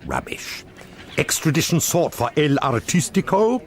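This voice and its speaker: An actor playing a wry, evasive middle-aged painter on the run, confiding in a British accent in a radio drama. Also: male voice, on the high side, big pitch swings, measured pace. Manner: droll, self-justifying, verbose. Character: wry, evasive fugitive painter reduced to pavement art.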